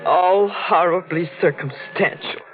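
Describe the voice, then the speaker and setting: A woman's voice: on the low side, slow. An actress playing a desperate young woman pleading earnestly and emotionally in a 1940s radio drama. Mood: insistent, distressed. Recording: muffled old radio recording with music.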